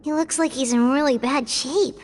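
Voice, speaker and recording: A woman's voice: high voice, moderate pace, a little hiss or hum, lightly acted. An actress playing a concerned young woman who sounds worried, in a game cutscene.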